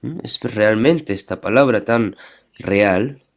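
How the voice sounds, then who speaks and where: A man's voice: lowish voice, takes it slowly, gravelly. A man preaching a sermon. He reads scripture aloud, asks his listeners rhetorical questions, and keeps checking in with them through short tag questions.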